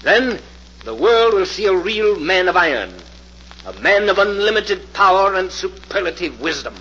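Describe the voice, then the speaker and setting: An actor playing a cruel, commanding, megalomaniac professor in a pompous, declamatory 1940s radio thriller style. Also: male voice, high voice, sing-song, moderate pace, pushed and bright, breathy edge, full character voice. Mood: grandiose menace. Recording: muffled, noisy old radio recording.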